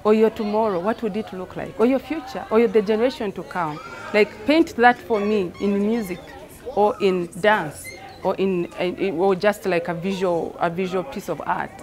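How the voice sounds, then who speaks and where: A woman's voice: medium pitch, moderate pace, a little mellow. Woman, emphatic interview talk. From Rwanda. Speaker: theatre artistic director. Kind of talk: interview, reflective explanation.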